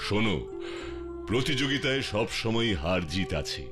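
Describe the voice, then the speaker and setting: Man playing a low-voiced steady cartoon hero. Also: normal speed, lightly acted. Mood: encouraging. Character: strong heroic male detective, steady, reassuring mentor. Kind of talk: cartoon voice acting. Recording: loud music behind the voice.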